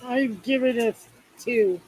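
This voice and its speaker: A man's voice: very high-pitched, slow pace, a little mellow, slight background noise, low-quality recording. A man on a casual tasting panel, making plain, short remarks. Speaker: panel judge. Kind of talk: casual chat.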